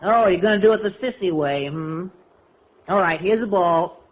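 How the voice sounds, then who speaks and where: An American actor playing a sly, prissy caddy in a 1940s radio comedy, with a snide, mock-innocent delivery. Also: male voice, high-pitched, normal speed, close to an everyday voice. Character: sly, prissy male caddy needling his rival. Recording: dull, hissy old radio recording.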